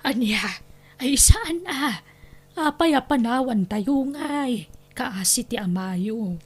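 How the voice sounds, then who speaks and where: A high, plaintive voice carries this long-suffering Ilocano wife and mother played by a woman. She is anxious and pleading, in the style of a family radio drama. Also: expressive, slow pace, a little mellow, slightly rough, heavily acted. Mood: sorrowful.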